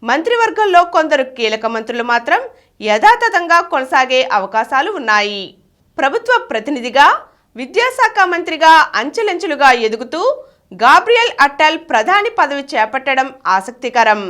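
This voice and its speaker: A woman's voice: high-pitched, big pitch swings, moderate pace, a bit bright. A woman reading scripted news reports in a formal announcing manner. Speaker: news reader.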